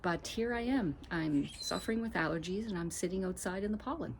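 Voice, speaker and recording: A woman's voice: lowish voice, fairly quick, a little hiss or hum. A woman in her fifties vlogging casually from her deck, a bit stuffy from allergies, rambling and laughing as she talks. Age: 50s. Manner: chatty, rambling, laughs often. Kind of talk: casual vlog update. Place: outdoor deck. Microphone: camera mic, no lapel mic.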